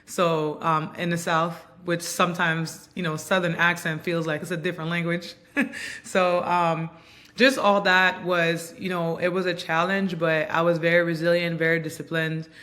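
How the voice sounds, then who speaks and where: A woman in her thirties from Montreal, whose first language is Haitian Creole, giving reflective, earnest interview answers with frequent pauses and ums. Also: fairly low pitch, level tone, a bit bright. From Montreal, Canada. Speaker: guest, basketball player and coach.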